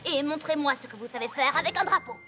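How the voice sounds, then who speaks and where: A cocky, excitable young cartoon pony coach, acted by a woman. The voice is very high and punchy, full of boastful impatience, with loud music behind it. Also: fast, lightly acted. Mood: mock professional. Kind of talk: animated cartoon voice acting. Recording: loud background music under the voice.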